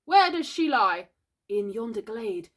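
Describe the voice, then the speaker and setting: An eager little storybook boy, acted by a woman who likes to sound wise. His voice sits high and swings about widely. He is pompous and emphatic, pleased with his own importance. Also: sing-song, measured pace. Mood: solemn, pompous. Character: eager, self-important little lost boy putting on wisdom. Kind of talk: storybook character voice.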